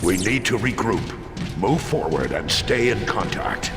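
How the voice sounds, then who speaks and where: A man voicing a noble, solemn robot commander in a video game, speaking with measured, earnest authority. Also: lowish voice, expressive. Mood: commanding. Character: noble, solemn male robot commander leading his troops. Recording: long reverb, loud music behind.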